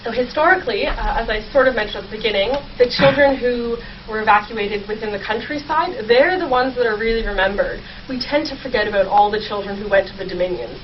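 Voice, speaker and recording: A young woman researcher explaining her work as an interview guest, speaking thoughtfully and haltingly with short pauses. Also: a little high. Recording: dull sound, faint noise, distant mic.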